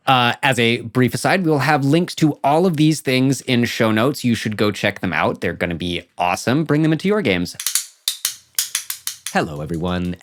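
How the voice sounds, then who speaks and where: A man hosting a podcast in a conversational, effusive and appreciative way. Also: lowish voice. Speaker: podcast host.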